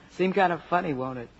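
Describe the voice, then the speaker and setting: An actress playing a gentle, wryly patient middle-aged wife and mother with an American accent in a 1950s radio drama. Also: on the low side. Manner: soft, wry, composed. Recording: dull vintage radio broadcast.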